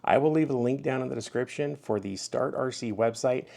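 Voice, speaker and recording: A man's voice: medium pitch, fairly quick, creaky, a little mellow. A man giving a casual, hands-on product review as the host of his own video.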